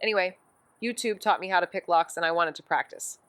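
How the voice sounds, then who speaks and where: A woman's voice: fairly low pitch, brisk, a bit bright, dry recording, close to an everyday voice. An actress in her twenties playing a cocky, playful amateur sleuth in an audio drama, glib and given to rambling, dramatic overexplaining. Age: 20s. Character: cocky, playful, self-taught young woman amateur sleuth. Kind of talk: naturalistic audio drama banter.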